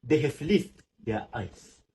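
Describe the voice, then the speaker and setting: A grave, watchful man in a stage drama, played by a male actor. His voice is mid-pitched and his lines are formal and sombre. A faint noise runs behind the recording. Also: animated, slow, warm tone. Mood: quiet, watchful. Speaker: student actor. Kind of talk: literary stage play acting.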